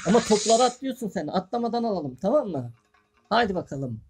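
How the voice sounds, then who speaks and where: An adult casually commentating on a game with a child, encouraging and coaching, often repeating words excitedly. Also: medium pitch, fairly quick, a little hiss or hum. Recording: loud game music behind. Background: mobile game music.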